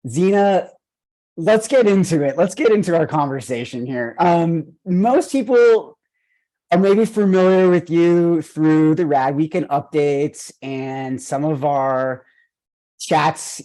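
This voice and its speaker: A man's voice: fairly high pitch, a little slow, dark tone, rough recording. A man with an Argentinian accent, hosting a running coaching podcast and interviewing an athlete in an enthusiastic, rambling way with many fillers. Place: video call.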